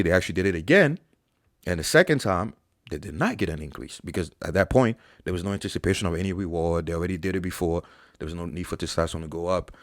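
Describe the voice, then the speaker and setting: Young male fitness host, deep voice, dry booth sound, profane and exasperated. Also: no room sound. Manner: blunt, profane, exasperated debunking. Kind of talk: live Q&A, reading chat questions.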